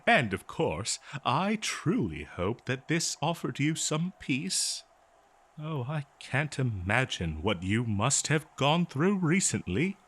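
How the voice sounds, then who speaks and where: A man playing an eager, kindly scholar in an audio roleplay, speaking politely and haltingly to a listener. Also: mid-range voice, very animated, fairly bright tone, husky, heavily acted. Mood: humbled, gentle. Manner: halting, flustered, polite, exclaiming. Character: eager, scholarly, kindly male researcher of a lost species. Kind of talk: scripted audio roleplay, one-sided dialogue.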